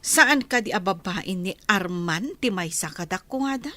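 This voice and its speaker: A woman's voice: medium pitch, lively intonation, unhurried, bright, forceful tone, no room sound. A woman with an Ilocano accent playing a jealous, suspicious rich madam who questions her maids haughtily in a village comedy radio drama. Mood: suspicious, demanding.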